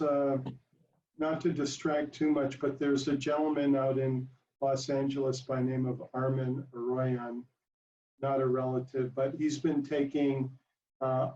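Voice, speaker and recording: Mellow guy hosting a q and a, sounds far from the mic, kinda echoey. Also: medium pitch, slight background noise. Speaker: interviewer and moderator. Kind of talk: casual hosted conversation. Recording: roomy, distant, slightly dull mic pickup.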